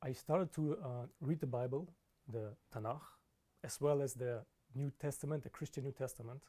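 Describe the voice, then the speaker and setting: A man recounts in an interview how he came to Judaism, speaking in an animated, emphatic way. His voice is warm and of middle pitch. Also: normal speed. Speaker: student. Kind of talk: interview answers.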